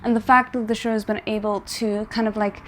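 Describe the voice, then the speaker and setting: A woman hosting a reaction video, talking casually and thinking aloud in halting, repeated phrases. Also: medium pitch, level tone, a little hiss or hum. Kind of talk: casual spoken reaction and commentary.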